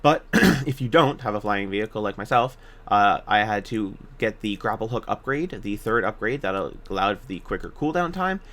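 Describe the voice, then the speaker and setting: Low-pitched man giving a casual game guide. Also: animated, moderate pace, hardly any pauses, fairly bright tone. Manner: informal, hesitant, self-correcting. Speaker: gaming video guide narrator. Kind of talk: casual game walkthrough commentary.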